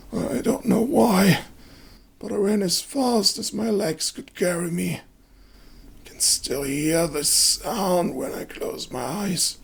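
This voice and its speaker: A man voicing a wounded, feverish village hunter, terrified and weak, with a breathy, strained voice in a fantasy horror story. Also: high voice, voice pushed up, exaggerated voice. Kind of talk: dramatic fantasy story reading.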